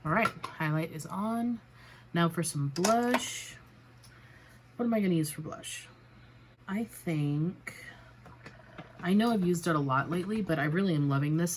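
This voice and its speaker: A woman talks casually through her makeup routine in a low-pitched voice, a little raspy as she gets over a cold. She narrates each step in a chatty, self-deprecating way. A faint noise sits under the recording. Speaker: beauty vlogger. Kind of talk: casual makeup tutorial chat.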